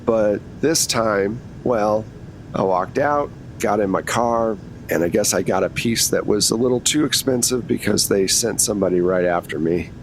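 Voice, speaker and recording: A man's voice: moderate pace, lightly acted. A man voicing a chatty shoplifter woman in her late sixties in a tabletop game, rambling along matter-of-factly. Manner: casual, rambling, matter-of-fact. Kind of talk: improvised tabletop roleplay. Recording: rumbly background noise.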